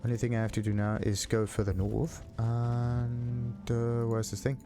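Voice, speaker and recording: A man giving casual game commentary as a let's play host, thinking aloud in a halting, puzzled way. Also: medium pitch, monotone, background noise. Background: game music.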